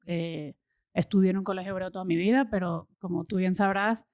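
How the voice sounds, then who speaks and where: Enthusiastic Venezuelan woman, low mellow voice. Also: fairly even intonation, brisk, dry recording, lo-fi sound. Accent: Venezuelan Spanish. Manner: enthusiastic, searches for words with fillers and repeats. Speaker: guest, social impact consultant. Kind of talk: casual interview conversation.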